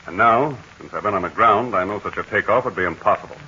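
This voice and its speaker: A steady, commanding aviator hero in a 1940s radio serial, speaking low and quick with a bright edge. The old recording is dull and lo-fi, with faint hiss behind it. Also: male voice. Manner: calm, assured, commanding. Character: brave steady male aviator hero, middle-aged. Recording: dull lo-fi 1940 radio transcription.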